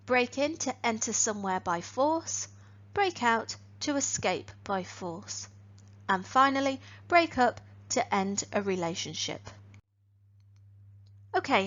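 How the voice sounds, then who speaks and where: A woman teaching phrasal verbs in an online lesson. She speaks in a clear, deliberate way and lifts each new phrasal verb. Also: on the high side, a bit bright, lo-fi sound. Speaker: English teacher.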